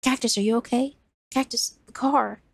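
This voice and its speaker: Brief: woman reading a young man, earnest and pleading, high and hurried, husky dry sound. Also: fairly even intonation, no echo. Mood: frantic. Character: eager, trusting young man, hopeful assistant.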